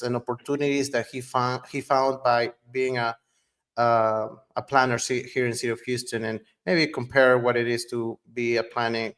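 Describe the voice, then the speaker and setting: A man hosting a conversational interview, speaking in a halting way and rephrasing his questions as he goes. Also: medium pitch, fairly bright tone. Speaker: interviewer, host, urban planner.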